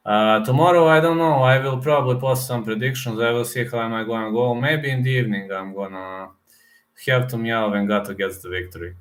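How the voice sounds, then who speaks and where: A man's voice: medium pitch, steady tone, fairly quick. A man from Serbia with a Serbian accent, hosting a casual live stream, answering chat with lots of fillers and repeated words. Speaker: livestream host reading chat.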